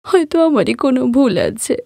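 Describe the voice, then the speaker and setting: A woman voicing a meek, dutiful young daughter-in-law in a cartoon story, gentle, plaintive and pleading. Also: high voice, expressive, brisk, creaky, no echo, studio quality, voice pushed up, exaggerated voice. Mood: sad. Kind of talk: Bengali cartoon story voice acting.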